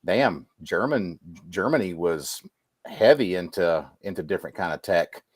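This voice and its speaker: Male co-host, lower register, dry recording, easygoing conversational podcast delivery. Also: expressive, a little slow, husky, dry recording. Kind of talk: casual panel discussion.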